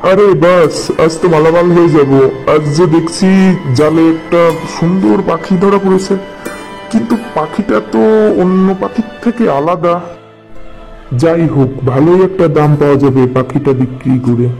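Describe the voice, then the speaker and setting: A man's voice: a little high, fairly even intonation, normal speed, creaky, darkish tone, rough recording. A man voicing a plain-spoken character in a cartoon folk tale, with music in the background. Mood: pleased, scheming. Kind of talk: cartoon voice acting.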